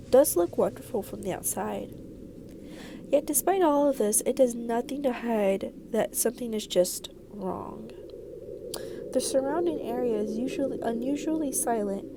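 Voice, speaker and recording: A woman doing a let's play, expressively reading visual novel narration aloud with stumbles and casual asides. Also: fairly high pitch. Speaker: video creator playing a visual novel. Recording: clearly noisy recording.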